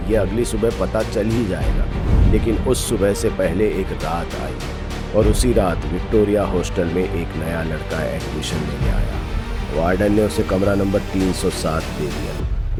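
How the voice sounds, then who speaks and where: Low-voiced male horror story narrator. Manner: dramatic, suspenseful storytelling.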